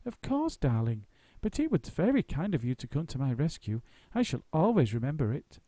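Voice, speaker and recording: Guy doing a sweet young actress lady, high and fond. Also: big pitch swings, normal speed, dark tone, no echo. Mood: affectionate. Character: warm, amused young actress woman.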